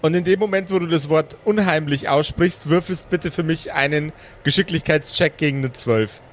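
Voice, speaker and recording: A man's voice: fairly high pitch, moderate pace, a little hiss or hum. A man working as game master, improvising narration and chatting casually with his player.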